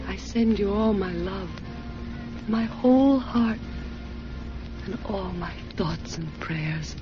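A woman's voice: mid-range voice, slow, natural voice. An actress playing an estranged wife who reads her letter tenderly and wistfully. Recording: dull lo-fi with music behind.

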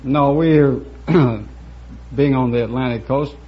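A man's voice: medium pitch, slow pace. A middle-aged man from Virginia giving dry, careful answers to a quiz host. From Virginia, USA. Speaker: quiz show contestant. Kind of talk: interview answers. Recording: muffled old broadcast with faint hiss.

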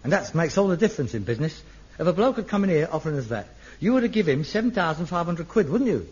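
An actor playing a frustrated middle-aged son, theatrical, swinging from wistful muttering to manic ranting in a sitcom. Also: male voice, on the high side, very animated, fast talker, darkish tone, slightly rough. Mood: defensive bickering. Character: frustrated middle-aged son, a rag-and-bone man with grand dreams. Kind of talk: 1970s TV sitcom acting. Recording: dull old TV soundtrack.

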